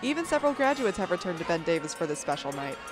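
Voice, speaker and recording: Teen reporter, fast and peppy, music under. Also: female voice, fairly low pitch, sing-song, a crowd in the background. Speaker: student reporter. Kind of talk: scripted news narration.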